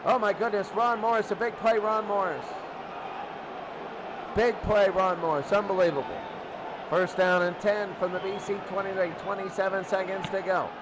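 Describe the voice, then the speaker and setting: A man calling American football play-by-play in short, repeated, excitable phrases. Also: high voice, moderate pace. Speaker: play-by-play announcer. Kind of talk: excitable American football radio-style commentary. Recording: loud crowd roar, heavy background noise.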